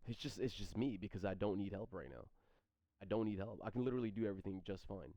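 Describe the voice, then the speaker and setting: Halting low-voiced man on stream. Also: mellow, no room sound. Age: 30s. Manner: halting, repeats words and restarts often. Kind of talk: rambling stream chat answering chat questions. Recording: dry, slightly dull stream mic audio.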